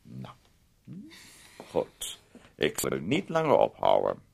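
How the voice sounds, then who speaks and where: A man's voice: very deep voice, wildly swooping pitch, takes it slowly, creaky, darkish tone, husky, exaggerated voice. An actor playing a fussy, manipulative man who poses as a caring guardian in a radio play, talking in a wordy, insinuating, condescending way with tag questions. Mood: patronising, confiding.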